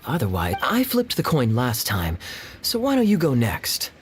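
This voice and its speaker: Casting: assertive young game character, mid-pitched, expressive, breezy and pointed. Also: male voice, moderate pace. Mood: cool, assured. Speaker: voice actor in a game. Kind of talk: acted video game dialogue.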